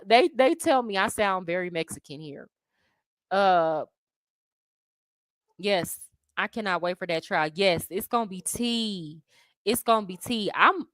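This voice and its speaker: A young American woman hosting a casual livestream, chatty and slangy, answering viewers' questions with animated asides. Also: medium pitch, no echo. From United States. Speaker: livestream host answering chat. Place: home abroad.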